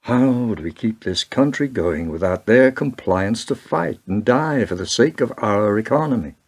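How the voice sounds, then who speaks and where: A man acting in a radio play as a grave political strategist, brooding in measured, rhetorical phrases. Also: lowish voice, expressive, breathy edge.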